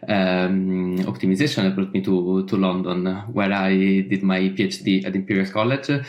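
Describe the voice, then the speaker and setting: A man from Italy with an Italian accent, answering questions in a podcast interview about his company, pausing often with fillers and restarts. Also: low voice, roomy sound. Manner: hesitant, many "uh" fillers and restarts. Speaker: startup founder, guest.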